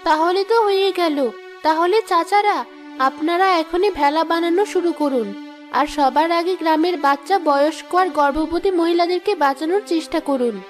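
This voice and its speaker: Cartoon role: a resourceful young wife, voiced by a woman, high voice, steady and reassuring, light music under her. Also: moderate pace. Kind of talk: Bengali cartoon voice acting.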